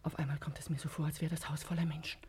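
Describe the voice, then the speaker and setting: An actress playing an anxious, frightened woman in a 1950s German radio play, hesitant and often hushed, on a noisy old recording. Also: low voice, little pitch movement, racing. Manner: hushed, breathy, hesitant pleading. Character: frightened, anxious wife in a lonely manor.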